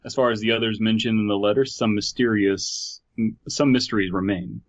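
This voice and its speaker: A man's voice: on the low side, fairly even intonation, a little fast. A man co-hosting a podcast, reading a story aloud with casual commentary and sometimes stumbling over words. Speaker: podcast co-host. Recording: slightly dull, muffled sound.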